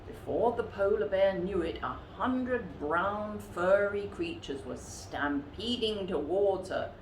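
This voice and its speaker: A woman reading a children's story aloud expressively, with theatrical, deliberate emphasis. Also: mid-range voice, animated. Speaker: storytime reader. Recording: roomy echo with faint background noise.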